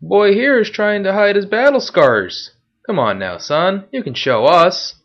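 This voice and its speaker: Brief: male narrator doing a jeering city guard, pitched up, loud and cocky. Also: animated, a little slow, exaggerated voice. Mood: mocking. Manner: taunting, loud and swaggering. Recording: dull lo-fi recording.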